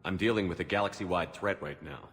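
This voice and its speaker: An actor playing a firm, steady military commander in a video game, terse and controlled. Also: male voice, sits low, fast talker. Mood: firm, businesslike. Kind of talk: dramatic video game dialogue.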